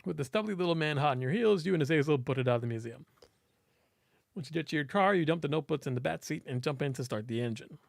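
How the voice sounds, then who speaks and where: A man's voice: a little high, lively intonation, fast, vocal fry, no room sound. A man reading visual novel narration aloud expressively, as a let's player and voice actor would.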